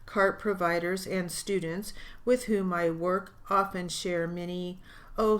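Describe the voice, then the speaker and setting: A woman reading literary passages aloud as steno dictation practice, in a deliberate, measured way. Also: fairly low pitch. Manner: deliberate, evenly paced reading with pauses.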